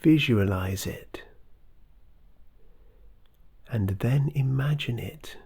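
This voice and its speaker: Meditation guide, mid-pitched, husky and breathy, extremely slow guided-relaxation delivery with long pauses. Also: mellow.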